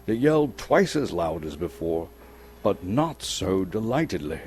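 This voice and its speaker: A man from Canada giving a dramatic, suspenseful storybook narration with theatrical, deliberate phrasing. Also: low voice, big pitch swings, a little mellow. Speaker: actor reading a story aloud. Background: music.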